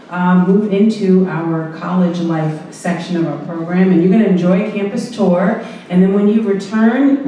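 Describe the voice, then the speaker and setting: A woman's voice: fairly low pitch, mellow. Upbeat woman emceeing in an echoey hall. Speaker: event emcee. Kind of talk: hosting a campus celebration. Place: university event room. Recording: echoey hall, distant mic, some noise.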